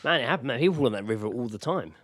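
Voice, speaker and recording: A man's voice: mid-range voice, lively intonation, very fast, no room sound. A man, hosting a podcast in a rambling, sweary, casual comedic chat. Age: 30s. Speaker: podcast host.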